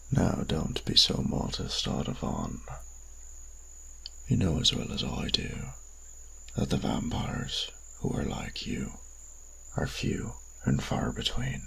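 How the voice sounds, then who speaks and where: A man playing a suave, menacing old vampire in an audio drama, silky and formal, with a breathy, gravelly voice. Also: extremely low pitch, huge pitch swings, a bit bright, a little hiss or hum, exaggerated voice, poor audio. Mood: charming, courtly. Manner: silky, formal, theatrically unhurried. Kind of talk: scripted audio roleplay acting.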